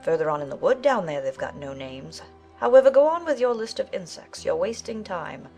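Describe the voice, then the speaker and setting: A woman voicing a large talking gnat in a children's audiobook, brisk, careless and matter-of-fact. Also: lowish voice, sing-song, darkish tone, soft background music. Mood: offhand. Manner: brisk, offhand, buzzing between remarks. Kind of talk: dramatized children's audiobook acting.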